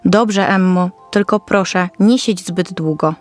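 A woman's voice: on the low side, measured pace, soft background music, close to an everyday voice. A woman playing a kindly, soothing orphanage caretaker in a children's audio fairy tale.

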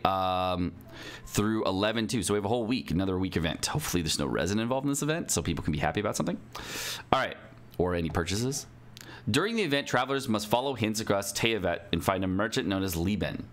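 A man's voice: fairly low pitch, fairly bright tone. A young man commentating casually on a game livestream, reading aloud and reacting in informal, swear-prone slang. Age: young adult. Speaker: streamer. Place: indoor room at a computer, livestream.

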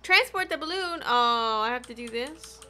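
High, bright-voiced woman gamer. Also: moderate pace. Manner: animated, exclamatory, slangy. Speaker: gaming livestreamer. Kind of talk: casual gameplay commentary. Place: small indoor room at home.

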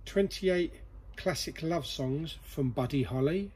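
A man's voice: a little high, slow, a little mellow, slight background noise. A man hosting a casual vlog in a jokey, chatty way as he sorts through a collection. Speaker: vlog host. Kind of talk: casual chat while going through a collection. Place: indoor storeroom full of boxes.

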